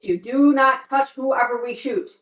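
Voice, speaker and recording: A woman's voice: high-pitched, fairly even intonation, measured pace, far from the mic. A woman chatting casually as a player in a tabletop role-playing game. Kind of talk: casual chat. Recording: roomy, off-mic, faint music behind.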